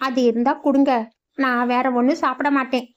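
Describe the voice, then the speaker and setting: A woman's voice: high-pitched, level tone, fairly quick, muffled recording, close to an everyday voice. A woman voicing a fussy young city girl visiting a village in a cartoon. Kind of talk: cartoon voice acting.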